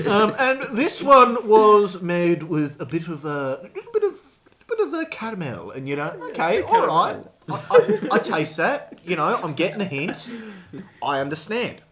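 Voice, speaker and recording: Male podcast co-host, fairly high register with swooping delivery, theatrically exasperated storyteller. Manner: animated, mock-exasperated, acts out quotes. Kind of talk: comic rant in casual podcast chat.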